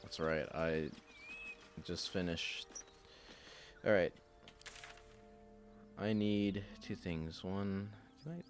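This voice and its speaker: A man hosting a casual game play-through, talking in a loose, rambling way with a slightly raspy voice. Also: deep voice, steady tone, extremely slow, long pauses, faint music behind. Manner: loose and rambling, with many fillers. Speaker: host.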